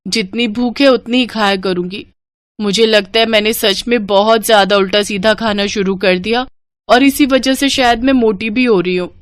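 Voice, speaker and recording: A woman voicing a whiny, contrite young unmarried woman in an animated family story. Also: medium pitch, normal speed, smooth, dry recording, lightly acted. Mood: apologetic.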